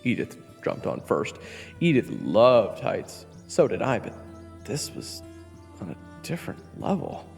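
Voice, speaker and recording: Guy as a cheeky nervous young hero narrating fantasy, lots of pitch swings, reverby, bit of music under. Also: sing-song, unhurried, gravelly, full character voice. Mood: reflective. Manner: casual, wry asides. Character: young orphan hero, cheeky, anxious, self-deprecating narrator. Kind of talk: first-person fantasy audio drama narration.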